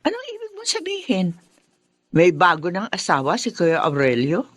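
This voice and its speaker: An actress playing a lively, chatty aunt who welcomes her nephew, in a radio drama. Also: fairly low pitch, moderate pace, dry recording. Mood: animated. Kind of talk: Filipino radio drama acting.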